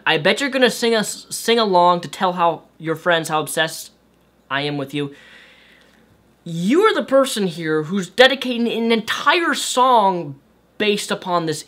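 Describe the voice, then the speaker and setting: A young man with a light, high-pitched voice, animated and exasperated, ranting to camera from his bedroom. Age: young adult. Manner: animated, exasperated, waves hands. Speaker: video host. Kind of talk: ranting commentary vlog. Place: bedroom with shelves of toys and games.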